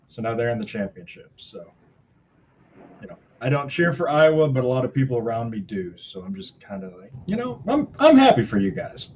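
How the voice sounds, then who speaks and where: A man's voice: mid-range voice, sing-song, a little fast, darkish tone. Dry, sarcastic man on a muffled mic. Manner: dry, sarcastic, hesitant with fillers. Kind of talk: casual online chat. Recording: muffled lo-fi mic with faint noise.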